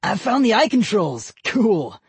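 A cocky prankster boy, as a narrator acts him out, mocking his gullible neighbor. The voice sits well above the reader's own and moves a lot. Dry and a little husky. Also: medium pitch, very animated, a little slow, dry recording, voice pushed up, heavily acted.

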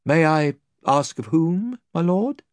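A man's voice: on the high side, sing-song, takes it slowly, dry recording, pitched above the performer's own voice. Man playing a bold young king. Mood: earnest. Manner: earnest, formal.

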